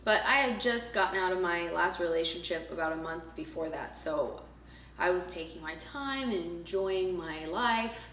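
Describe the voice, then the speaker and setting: Wry woman, low voice, roomy sound. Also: normal speed. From Montreal, Canada. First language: French. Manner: relaxed, wry, laughing along. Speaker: professional choreographer. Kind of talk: casual storytelling. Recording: roomy, distant mic with faint noise.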